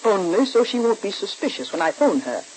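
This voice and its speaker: An actress playing a cold, bossy woman villain who gives deliberate, emphatic orders in the style of 1940s radio soap acting. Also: mid-range voice, big pitch swings, a little mellow, natural voice, poor audio. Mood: scheming, commanding. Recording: hissy old radio recording.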